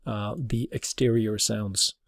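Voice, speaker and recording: A man giving an informal tutorial as a teacher, explaining in a halting way. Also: on the low side, little pitch movement, dry recording, clean studio sound. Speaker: teacher demonstrating audio production.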